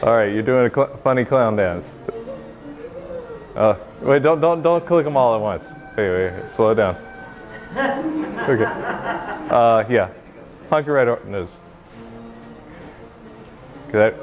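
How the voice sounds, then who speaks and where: A young man giving an informal technical demo to a room, speaking haltingly with frequent pauses. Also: mid-range voice, music in the background. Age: young adult. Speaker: presenter giving a software demo. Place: meeting room, standing by projection screen. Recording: noisy, muffled room recording.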